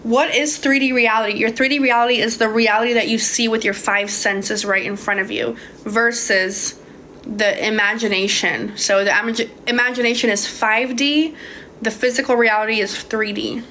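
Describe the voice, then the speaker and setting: Young woman from hungary, slightly high voice, casual livestream coach, swears, calls people babe, phone mic, faint noise. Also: fairly even intonation, normal speed, bright, forceful tone. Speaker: manifestation coach. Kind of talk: casual livestream Q&A, reading viewer comments.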